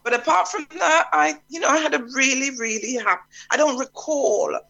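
Bright-voiced woman from Jamaica. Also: sits high, normal speed, gravelly, muffled recording. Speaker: interview guest. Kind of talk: relaxed conversational interview.

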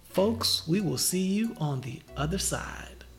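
A man hosting a podcast interview, upbeat and effusive, with the host's warm enthusiasm for his guest. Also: sits high, lively intonation, slow, faint music behind. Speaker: podcast host.

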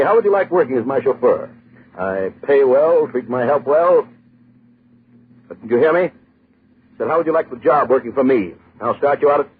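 Wealthy middle-aged blusterer, rough husky voice, pompous, agitated, vintage radio drama, dull old broadcast sound. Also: male voice, medium pitch, exaggerated voice. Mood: imperious, suspicious. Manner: blustering, demanding, repeats himself in agitation. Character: pompous, irritable wealthy man turning frightened and pleading. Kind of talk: 1940s American radio horror acting. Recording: muffled old 1940s radio recording.

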